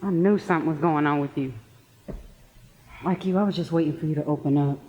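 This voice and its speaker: A woman's voice: low voice, fairly quick, mellow, slight background noise, natural voice. A woman playing a concerned, supportive young best friend in a stage play. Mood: concerned, sympathetic. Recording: muffled stage play recording.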